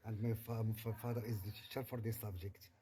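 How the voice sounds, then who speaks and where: A man chatting casually in a non-native language, halting and searching for words. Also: on the low side, flat delivery, warm tone, a little hiss or hum. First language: not French. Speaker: vlogger. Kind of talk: casual chat.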